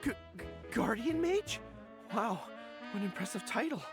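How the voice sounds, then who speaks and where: An earnest young male general in a video game, formal and military in his phrasing and easily flustered. His pitch moves in a lively way, with music playing underneath. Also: normal speed.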